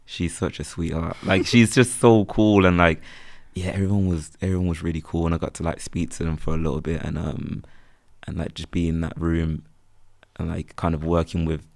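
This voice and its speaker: A young man with a Birmingham accent, chatting in a relaxed, rambling way as an interview guest. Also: sits low, normal speed, gravelly, dry recording. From England. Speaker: guest, freelance photographer. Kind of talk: casual interview chat.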